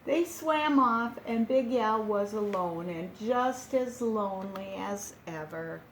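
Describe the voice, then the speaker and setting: A woman reading a children's picture book aloud, gentle and expressive, with dramatic pauses. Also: medium pitch, a little hiss or hum, poor audio.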